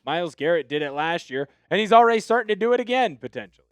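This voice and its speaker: A young man hosting a sports news commentary, talking with firm opinions in a fairly high voice. His delivery swings into animated excitement at times. The sound is dry and close, with no room echo. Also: fast, smooth, faint music behind. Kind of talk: solo sports commentary video.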